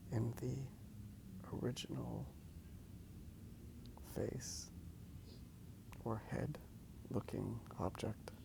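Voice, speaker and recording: A man rambling softly and hesitantly in a hushed ASMR style, recorded outdoors on a handheld phone. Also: medium pitch, fairly even intonation, extremely slow, vocal fry, pauses often, background noise. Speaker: host of a drawing ASMR video. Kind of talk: soft-spoken ASMR rambling. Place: outdoors in a park.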